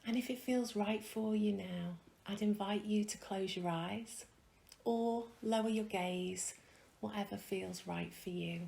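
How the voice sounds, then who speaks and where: Female meditation guide: very slow, gentle and hushed, some creak in the voice, faint noise behind. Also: medium pitch. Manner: soft, soothing, gentle delivery with long pauses.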